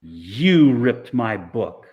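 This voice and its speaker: A man's voice: medium pitch, very animated, very slow, lo-fi sound. A man voicing a feisty, bossy young girl in an audiobook, with an emphatic way of talking. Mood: accusing. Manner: scolding, emphatic. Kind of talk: audiobook character voice.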